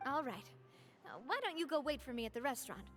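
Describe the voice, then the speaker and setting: A woman's voice: sits high, very animated, fast, fairly bright tone. A woman voicing a confident, easygoing young woman in a video game who teases her friends and laughs often. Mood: brisk. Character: confident young woman leader, warm and playful. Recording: game audio with faint music underneath.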